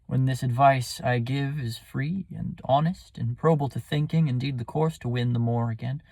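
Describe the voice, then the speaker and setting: A man playing a smooth, scheming villain in a theatrical, deliberate Shakespearean soliloquy. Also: medium pitch, darkish tone, dry recording. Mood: sly reasoning. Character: scheming, smooth male villain plotting ruin.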